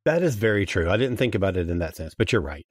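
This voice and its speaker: Animated low-pitched man chatting. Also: fast talker, breathy edge, no echo, studio quality. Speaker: podcast guest. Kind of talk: casual chat.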